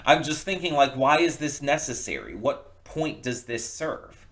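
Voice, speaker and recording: Man giving halting, casual commentary. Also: mid-range voice, animated, fairly bright tone. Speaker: reaction video host. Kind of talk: casual opinion commentary on a comic dub.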